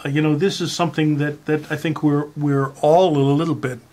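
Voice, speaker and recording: A presenter with a halting, hesitant, deadpan delivery, speaking in a mock-serious way while sharing a piece of writing aloud. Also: mid-range voice. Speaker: presenter reading a found article aloud. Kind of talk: rambling deadpan introduction and mock-serious dramatic reading.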